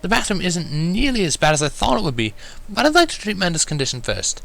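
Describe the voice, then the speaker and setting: A man's voice: high-pitched, very animated, fast talker, vocal fry, a bit bright, pitched above the performer's own voice, exaggerated voice. A man voicing a fussy, refined female unicorn in a fan fiction reading, speaking politely and formally. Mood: insistent. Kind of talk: fan fiction storybook character voice.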